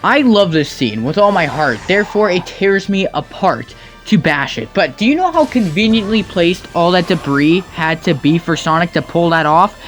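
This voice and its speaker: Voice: medium pitch, animated, normal speed. Argumentative nitpicking commentator over music. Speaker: video commentator. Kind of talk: comedic nitpicking commentary over game cutscenes.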